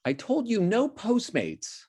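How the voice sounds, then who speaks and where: Animated, grumpy twentysomething guy, acted by a man. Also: high-pitched, slow, breathy edge, exaggerated voice. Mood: exasperated. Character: grumpy young male cousin in his twenties. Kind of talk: video-call table read of a comedy script. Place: video call from home.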